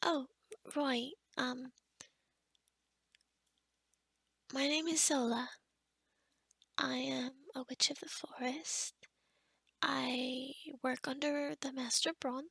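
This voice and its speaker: High, breathy young witch, voiced by a woman. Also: fairly even intonation, slow, no echo, exaggerated voice. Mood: friendly, hesitant. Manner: hesitant, rambling, softly spoken with whispery stretches. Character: kind, awkward young forest witch, protective of her frog. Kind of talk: ASMR roleplay addressed to the listener.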